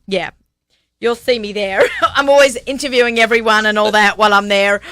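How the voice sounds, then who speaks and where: A woman's voice: medium pitch, fairly even intonation, a bit bright, clean tone, no room sound. A middle-aged woman hosting a radio show, chatting casually and bubbly on a studio mic. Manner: bubbly, quick, laughs often. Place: small cluttered radio studio. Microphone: studio mic with headphones.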